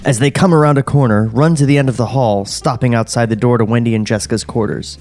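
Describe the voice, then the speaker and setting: A man's voice: medium pitch, fairly even intonation, quick pace, a little mellow. A man reading screenplay stage directions and chatting casually as a podcast narrator. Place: podcast studio.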